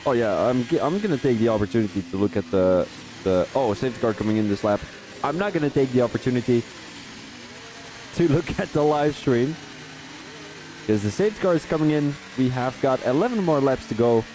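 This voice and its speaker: A man from Belgium, doing live race commentary for a sim racing league, rambling in chatty asides and rising to excited calls on overtakes. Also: medium pitch, brisk, faint music behind, rough recording.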